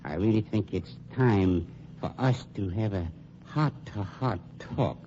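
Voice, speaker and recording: An actor playing a coolly menacing funeral home owner with silky, formal politeness in an old-time radio crime drama. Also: male voice, on the low side, slow. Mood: smooth, mocking. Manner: formal, silkily polite, deadpan. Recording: muffled, noisy old radio recording.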